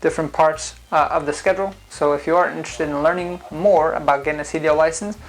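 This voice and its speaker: A man's voice: on the high side, fairly even intonation, fast, poor audio. A man who speaks English as a second language, explaining a driving test step by step in a casual, conversational way with frequent fillers. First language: not English. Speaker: driving school founder and instructor.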